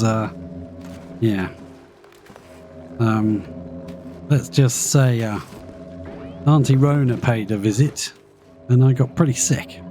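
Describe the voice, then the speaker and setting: A man casually commenting on his live gameplay, chatty and thinking aloud as he plays, his voice slightly croaky after being ill. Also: mid-range voice, mellow. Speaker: live streamer.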